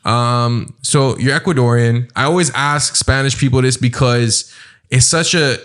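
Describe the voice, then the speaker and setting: A man hosts a loose podcast chat. He talks in a chatty, animated way with frequent fillers. His voice sits at a middle pitch. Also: measured pace. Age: 20s. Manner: chatty, animated, says "like" and "you know what I'm saying". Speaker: podcast host. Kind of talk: casual podcast conversation.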